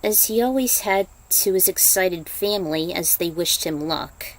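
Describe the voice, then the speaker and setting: A woman's voice: fairly low pitch, measured pace, low-quality recording. A woman narrating a fan fiction story as an amateur reader, sometimes stumbling over the text and correcting herself. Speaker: podfic narrator.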